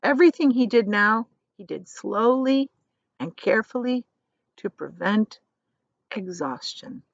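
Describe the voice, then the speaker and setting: A woman reads a children's story aloud, expressively, with deliberate, dramatic emphasis on key words. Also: on the high side, expressive, gravelly, no room sound, dull audio. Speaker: teacher reading aloud.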